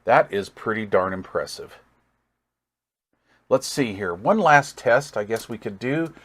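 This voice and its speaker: A man's voice: fairly low pitch, lively intonation, unhurried, a bit bright, slightly rough. Casual man thinking aloud, folksy enthusiasm. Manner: thinks aloud while working. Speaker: radio reviewer and hobbyist. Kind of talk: casual hands-on product demonstration.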